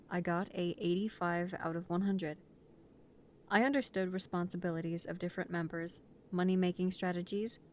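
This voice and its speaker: A woman's voice: fairly low pitch, a little hiss or hum, lightly acted. A woman playing a nervous, deferential mafia recruit who speaks politely and formally, with hesitation, in an audio roleplay.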